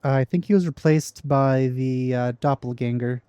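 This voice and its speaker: A man's voice: mid-range voice, moderate pace, mellow, smooth. A man giving casual, offhand commentary in a dry manner as he plays through a video game. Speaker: gaming video host.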